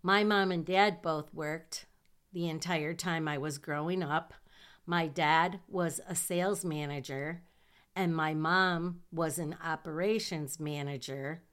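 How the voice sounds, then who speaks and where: A woman's voice: low voice, steady tone, fairly bright tone, clean tone. A middle-aged woman from southern Michigan with a nasal voice, hosting her own podcast in a chatty, self-deprecating way. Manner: slow, chatty, self-deprecating with small laughs. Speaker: podcast host. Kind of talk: casual personal monologue.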